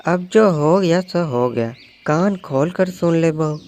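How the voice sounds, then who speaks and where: A man voicing a scheming, nagging mother-in-law sparrow who bosses and taunts her daughter-in-law in a high, strained voice. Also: slow, darkish tone, a little hiss or hum. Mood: caught flustered. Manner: bossy, taunting, sly.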